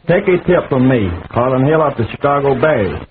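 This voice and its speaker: A man's voice: medium pitch, animated, fairly quick. A man, an athlete, reading a scripted radio endorsement. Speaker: athlete giving a commercial endorsement. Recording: tinny, noisy old radio recording.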